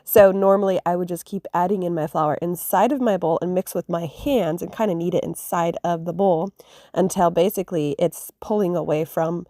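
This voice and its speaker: A woman's voice: lowish voice, steady tone, normal speed, dark tone, no echo, clean studio sound. A woman who is getting over a cold, narrating a casual home cooking vlog in a chatty, rambling way full of fillers and restarts. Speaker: homestead vlogger. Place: home kitchen.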